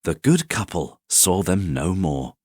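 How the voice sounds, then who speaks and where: Deep calm guy reading a bedtime fairy tale, soothing, husky, dry close sound. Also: no room sound. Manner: soothing, unhurried, expressive reading. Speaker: bedtime storyteller.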